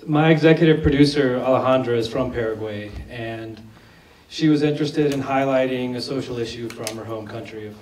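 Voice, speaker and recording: Man, hoarse, conversational Q&A answers, restarts sentences, roomy hall, distant from the mic. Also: medium pitch, fairly quick, darkish tone. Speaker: film director at a Q&A. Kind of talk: post-screening Q&A answers. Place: large screening hall. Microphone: lost microphone, speaking unamplified. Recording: echoey hall, voice from far off.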